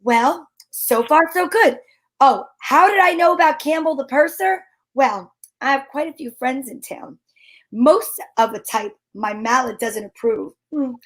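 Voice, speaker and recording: A sassy, self-assured lady detective, acted by a woman in an old-time radio mystery. Her voice sits high, and she delivers slangy, wry lines as both sleuth and narrator. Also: measured pace, fairly bright tone, poor audio. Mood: wry narrating. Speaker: lead actress and narrator.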